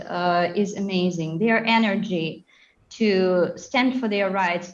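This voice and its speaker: A woman from Ukraine speaks slowly and earnestly, with hesitant pauses between phrases. Her voice sits at an ordinary middle pitch. The sound is slightly dull and muffled, as if it came in over a remote link. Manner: earnest, measured, with many "uh" pauses. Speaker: guest. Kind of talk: radio interview.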